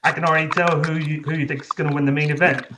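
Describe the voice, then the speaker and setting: A man's voice: fairly high pitch, little pitch movement, quick pace, noisy background. A man hosting an interview show, asking questions in a hesitant way, full of fillers and restarts. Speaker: podcast host.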